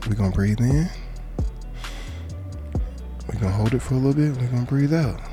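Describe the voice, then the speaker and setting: A man's voice: medium pitch, fairly quick. A man guiding a meditation with informal prayer in a casual, colloquial, encouraging way. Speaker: meditation guide. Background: music behind the voice.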